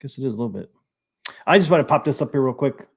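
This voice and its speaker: A man's voice: mid-range voice, animated, fast, vocal fry, slightly rough. Easygoing man reading stream comments. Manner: easygoing, reads out viewer comments. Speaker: live stream host. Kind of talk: casual live stream chat.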